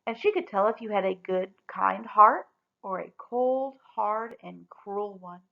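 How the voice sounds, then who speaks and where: A woman reading a story aloud expressively, with deliberate, dramatic emphasis on key words. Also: mid-range voice, animated, creaky, highs cut off.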